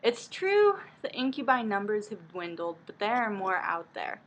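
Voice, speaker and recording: A woman voicing a middle-aged father, measured and placating but nervously defensive, in a book reading. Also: medium pitch, very animated, natural voice.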